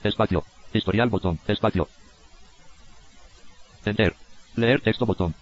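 A man's voice: lowish voice, measured pace. A synthetic man's voice working as a screen reader, mechanically reading out short interface labels in clipped fragments. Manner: flat, mechanical, often cut off mid-word. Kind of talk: clipped text-to-speech readout of interface labels. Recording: dull, lo-fi computer audio capture.

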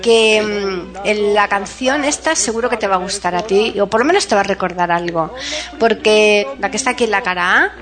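A woman's voice: mid-range voice, normal speed. A woman from Spain with a southern Spanish accent, chatting casually and explaining things in a talkative way as a host. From Spain, Seville area. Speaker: host of a music programme. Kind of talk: casual chat about old records.

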